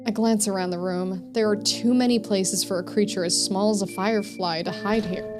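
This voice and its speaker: A troubled, needy young narrator of a psychological horror game, read aloud by a woman. The delivery is introspective and pleading, like thoughts spoken out in a visual novel. Music plays clearly behind the voice. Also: medium pitch, steady tone, moderate pace, close to an everyday voice. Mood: pleading, anxious.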